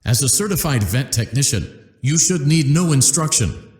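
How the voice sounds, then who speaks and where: An actor playing a cheery male corporate training announcer with a polished sales-pitch delivery in a video game. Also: expressive, normal speed, darkish tone, natural voice. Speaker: company announcer. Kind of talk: scripted game voice lines. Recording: roomy echo.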